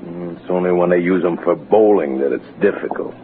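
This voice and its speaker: An actor playing a dour, formal manservant, polite and dryly resigned, in a 1940s radio drama. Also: male voice, low voice, fairly quick, close to an everyday voice. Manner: polite, deadpan. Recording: noisy, muffled 1940s radio recording.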